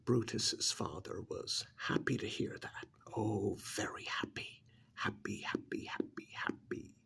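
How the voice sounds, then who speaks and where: Ancient stranded alien, played by a man: low-pitched, often whispering, gravelly and contemptuous, deliberate ASMR monologue. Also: steady tone, a bit bright, exaggerated voice. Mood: sly, self-satisfied. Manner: slow, deliberate, condescending, with long pauses. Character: arrogant ancient alien stranded in a human body, contemptuous and menacing.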